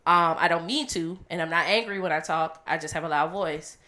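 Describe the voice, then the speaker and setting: A young woman from the Caribbean co-hosting a podcast, talking loud, candid and animated in casual chat. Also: low voice, a little fast. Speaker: podcast co-host.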